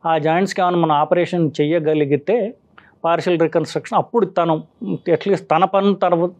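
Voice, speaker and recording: A man speaking as a doctor, explaining haltingly and mixing in English medical terms. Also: on the high side, steady tone, darkish tone. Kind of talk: explaining a medical condition informally. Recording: slightly dull, lo-fi sound.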